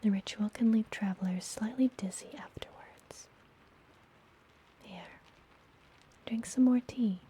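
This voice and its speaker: Slow, whispering witch healer, acted by a woman. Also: medium pitch. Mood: caring, gentle. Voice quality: soft breathy whisper. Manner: slow, gentle, soothing, deliberate. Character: friendly, mysterious, teasing witch healer of a forest apothecary. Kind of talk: whispered ASMR fantasy roleplay.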